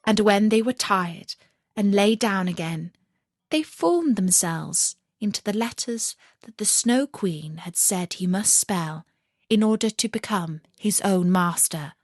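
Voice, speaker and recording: A woman storyteller reading a scripted fairy tale in an expressive, measured way. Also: medium pitch, dry recording, clean studio sound.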